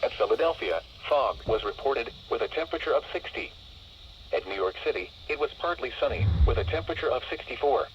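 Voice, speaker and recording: A man's voice: medium pitch, expressive, a little fast. A man reading a formal scripted weather report, heard through a handheld VHF radio. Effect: heard through a VHF radio receiver. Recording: dull noisy handheld radio speaker.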